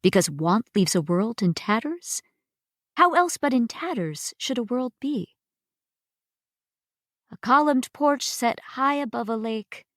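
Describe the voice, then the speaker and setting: A woman giving an expressive poetry reading, deliberate and pausing between phrases. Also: on the high side, no room sound, clean studio sound. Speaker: reader of poetry. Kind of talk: dramatic poetry recitation.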